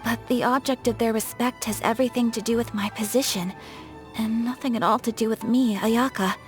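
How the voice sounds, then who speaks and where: A woman playing a gentle, refined young noblewoman in a video game, speaking with formal, poetic, soft-spoken politeness. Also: on the high side, fairly even intonation, fairly quick, natural voice. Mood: solemn, earnest. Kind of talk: scripted video game character voice lines. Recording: music behind the voice. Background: music.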